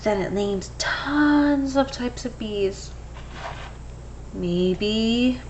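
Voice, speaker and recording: A woman reading a children's picture book aloud in an expressive, storytelling way. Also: fairly high pitch, very slow, a little hiss or hum, poor audio. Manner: expressive, acts out dialogue. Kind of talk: animated children's read-aloud.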